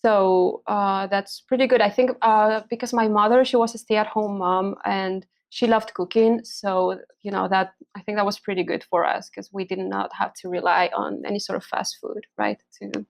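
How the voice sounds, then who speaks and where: Gravelly-voiced woman from Spain. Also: medium pitch, steady tone, moderate pace, few pauses. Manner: hesitant, many fillers and restarts, laughs often. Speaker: hormonal and pregnancy coach, podcast guest. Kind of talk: casual interview chat.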